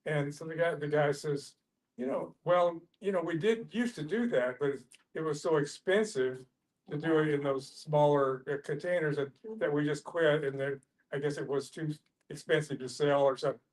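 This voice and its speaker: A man with a somewhat high voice shares a personal story in a group. He is casual and folksy, stopping and restarting often as he rambles along. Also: normal speed, rough recording. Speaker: discussion participant.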